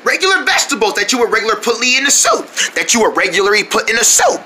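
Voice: medium pitch, big pitch swings, fast, bright, forceful tone, slight background noise. A performer voicing a bossy, exasperated male cartoon friend, blunt and emphatic in manner. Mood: coaxing.

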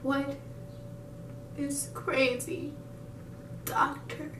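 Woman acting a halting, unhinged grieving mother, echoey room. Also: flat delivery. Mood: hushed, bitter. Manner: halting, emphatic, swinging between hushed and wailing. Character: grieving, unhinged mother confronting her psychiatrist. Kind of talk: dramatic stage monologue. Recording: roomy, distant mic, noisy with rumble.

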